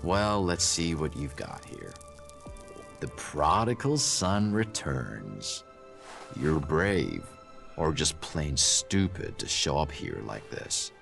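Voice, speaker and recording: An actor playing a sly, evasive outlaw camp boss in a video game, sardonic and drawling, with mocking menace. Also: male voice, a bass voice, very animated, takes it slowly, gravelly, a bit bright, soft background music, full character voice. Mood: menacing, scornful. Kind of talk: video game character dialogue.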